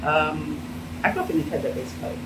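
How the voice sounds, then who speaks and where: A middle-aged Nigerian woman with a Nigerian accent speaks in a fairly low voice during an animated interview. She sounds a little distant from the phone held toward her. A steady hum fills the office around her. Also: quick pace. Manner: animated, gesturing while talking. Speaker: interviewee, business owner. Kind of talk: casual interview talk. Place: indoor office with sofa. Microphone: handheld phone held out by interviewer.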